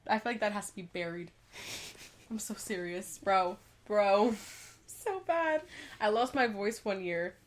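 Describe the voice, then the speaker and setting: A woman's voice: medium pitch, measured pace. A young woman, co-hosting a podcast in a casual chat, congested, laughing often and talking with lots of fillers. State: congested, dry throat. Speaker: podcast co-host.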